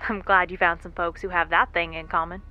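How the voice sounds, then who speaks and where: A woman's voice: on the low side, flat delivery, a little fast, dull audio, lightly acted. A woman playing a stranded, injured space explorer in a sci-fi audio drama, with a wry, folksy way of muttering asides. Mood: dry, teasing.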